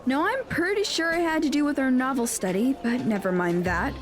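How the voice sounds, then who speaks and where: A woman's voice: high voice, lively intonation, fairly quick, faint music behind. A woman playing a self-important, indignant teenage schoolgirl in an audio drama, speaking in an emphatic, accusatory way. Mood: exasperated.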